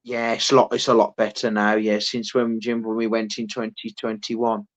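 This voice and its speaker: Flat-voiced rambling man. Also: fairly low pitch, highs cut off. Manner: rambling, many restarts, unhurried. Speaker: podcast co-host. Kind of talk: casual chat.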